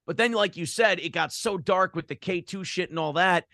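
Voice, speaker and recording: A man's voice: high voice, normal speed, gravelly, no room sound, studio quality. A man being interviewed in a casual conversation, telling stories in a joking, profane way. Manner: animated storytelling, profane, joking. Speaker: interview guest, broadcaster.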